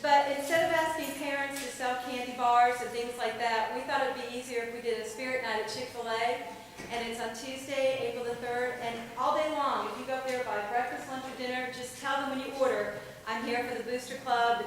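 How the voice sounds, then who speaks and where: A woman teacher, loudly announcing a fundraiser to a concert audience. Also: on the high side, steady tone, brisk. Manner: loud, projecting to the audience, pleading. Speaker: teacher and booster club volunteer. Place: large school auditorium. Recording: echoey hall from far off, audience noise.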